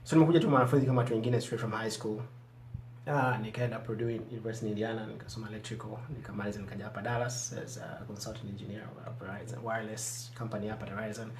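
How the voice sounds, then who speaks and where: A middle-aged man from Tanzania, a guest in a TV studio interview, talking in a measured, reflective way with frequent restarts. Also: on the low side, little pitch movement, echoey room, a little hiss or hum. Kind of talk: interview, switching between English and Swahili.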